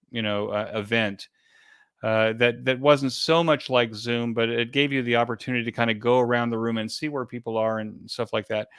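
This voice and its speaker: A man speaking as an interview guest in a conversational way, explaining things hesitantly with frequent pauses and repeated words. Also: mid-range voice, fairly bright tone, clear, resonant tone, no room sound, studio quality. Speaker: interview guest, event organizer.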